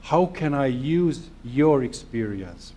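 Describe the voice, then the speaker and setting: A middle-aged man from Hungary with a Hungarian accent, giving an earnest, self-deprecating conference presentation in halting non-native phrasing. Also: mid-range voice, lively intonation, warm tone. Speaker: conference speaker.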